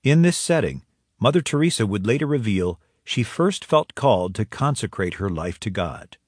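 A man narrating a documentary in a measured, scripted style. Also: on the low side, big pitch swings, darkish tone, breathy edge, no room sound, clean studio sound.

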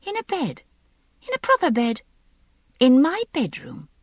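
Woman playing a headstrong, spoilt young noble girl, high-pitched. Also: sing-song, moderate pace, no room sound, clean studio sound. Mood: bold, impatient. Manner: imperious, petulant, insistent.

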